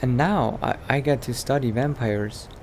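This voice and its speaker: A man playing a thoughtful scholar who is turning into a vampire, musing aloud haltingly in an improvised roleplay monologue. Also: medium pitch, a little hiss or hum, natural voice. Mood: intrigued.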